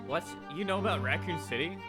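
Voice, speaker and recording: A man's voice: a little high, fairly quick, over loud music. A man doing a casual voiced playthrough of a visual novel, reading and reacting in a sarcastic, slangy way. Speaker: gaming video creator.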